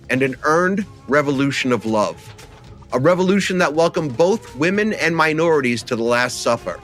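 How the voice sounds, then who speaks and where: Man, podcast co-host, bright forceful voice, lively swooping pitch, wry and theatrical, film talk. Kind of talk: film discussion podcast, chat and read-out copy.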